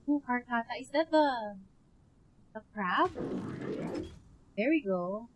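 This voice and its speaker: A woman's voice: fairly high pitch, expressive, slow, muffled recording, background noise. A woman with a Filipino accent and Tagalog as her first language, giving casual, reactive live gameplay commentary. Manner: reactive, switches between Tagalog and English. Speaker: game streamer.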